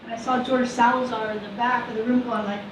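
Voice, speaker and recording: A woman's voice: fairly high pitch, quick pace. A woman resident making a public comment, chatty with lots of fillers, who says she is deaf in one ear. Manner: chatty, filler-heavy, animated. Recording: dull echoey room audio, distant, noisy.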